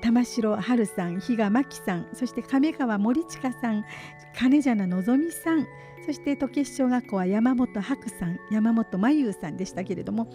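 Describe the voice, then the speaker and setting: Brief: woman radio host, middle-aged, fairly high and soft-toned, polite conversational delivery, faint music behind. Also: normal speed. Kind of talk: live radio hosting, announcing and interviewing. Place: small community radio studio. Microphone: studio boom microphone with headset headphones.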